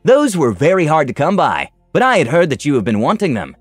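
A man voicing a polite, flattering traveling merchant in his late thirties in a told fantasy story. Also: fairly high pitch, fairly quick, no room sound, soft background music, pitched above the performer's own voice. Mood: flattering, cheerful.

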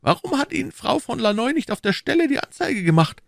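A man voicing an imperious, keen cardinal in an audiobook, brisk and probing as he questions and commands. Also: very high-pitched, very animated, gravelly, a bit bright, dry recording, clean studio sound, voice pushed up, full character voice. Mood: eager, probing. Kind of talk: audiobook character dialogue.

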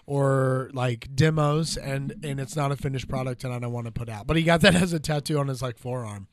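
A man co-hosting a podcast, telling stories and jokes in an animated way and swearing freely. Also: medium pitch, brisk, slight background noise. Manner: animated, joking, swears often. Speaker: podcast co-host. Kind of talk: casual podcast banter.

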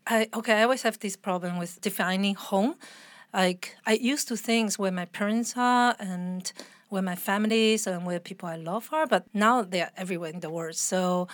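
A woman's voice: medium pitch, normal speed, dry recording. Chatty woman, dry sound. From Taiwan. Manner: chatty, laughs often, restarts sentences. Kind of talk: casual interview conversation.

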